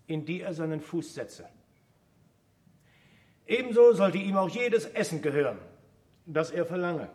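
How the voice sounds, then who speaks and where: An actor playing a famous physicist in a German radio play, wry, stubborn and didactic, with a declamatory manner. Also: male voice, a little high. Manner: deliberate, declamatory storytelling and teaching.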